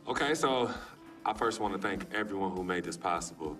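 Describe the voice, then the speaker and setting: Easygoing young man, low voice. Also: expressive, brisk, a bit bright. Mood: grateful. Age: young adult. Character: polite, easygoing young basketball prospect. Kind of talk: film drama acting. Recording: distant mic, music underneath.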